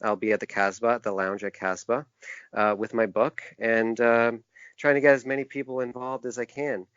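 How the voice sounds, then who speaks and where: A man being interviewed as a guest, talking casually in a thoughtful, halting way and explaining things in detail. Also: medium pitch, level tone, a bit bright, smooth, dry recording. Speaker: podcast guest, author and indie publisher. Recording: dull, dry lo-fi podcast audio.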